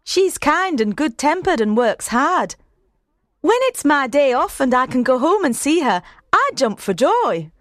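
A woman voicing a cheerful young country housemaid in a storybook reading, chatty and quick. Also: high-pitched, sing-song, no echo, studio quality, pitched above the performer's own voice. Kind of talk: audiobook character voice.

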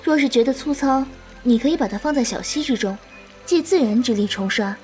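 High-voiced, gentle young swordswoman disciple acted by a woman. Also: lively intonation, brisk, soft background music, lightly acted. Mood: gentle, patient. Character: gentle caring young woman disciple, bashful and easily flustered. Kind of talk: Chinese wuxia radio drama acting.

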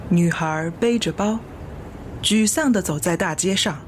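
A woman's voice: fairly low pitch, a little slow, a little hiss or hum. A woman narrating a scripted radio drama in a lyrical storytelling manner over music. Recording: loud guitar music behind the voice.

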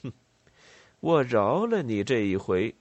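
A man's voice: mid-range voice, big pitch swings, slow pace, no echo. A man voicing a bluff, commanding rebel leader who poses as emperor in a story, with a swaggering, emphatic delivery. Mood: menacing.

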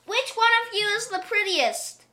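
Woman reading as an eager, bright-voiced very old man. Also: high-pitched, expressive, unhurried, full character voice. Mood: puzzled, earnest. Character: very old man, gentle, eager, simple-hearted husband.

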